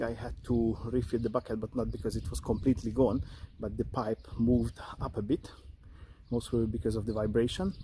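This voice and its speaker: A man's voice: mid-range voice, mellow, a little hiss or hum. A young man casually narrating a car wash on an outdoor driveway, plain and matter-of-fact, counting out amounts. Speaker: car-care vlogger.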